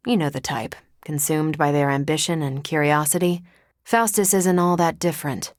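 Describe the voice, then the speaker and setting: A woman's voice: sits low, steady tone, moderate pace, no room sound, clean studio sound, lightly acted. A woman playing a confident, wry, competitive superhero soldier in a video game, talking in casual, self-assured banter. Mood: reflective, frank. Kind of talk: video game voice acting, conversational dialogue.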